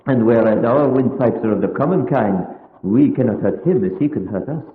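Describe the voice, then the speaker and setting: A man's voice: mid-range voice, moderate pace, slight background noise, natural voice. A man playing a grave, learned vampire hunter in an old-time radio play, speaking formally and solemnly with oratorical, archaic phrasing. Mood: grave warning. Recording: muffled band-limited radio recording, long echoing reverb.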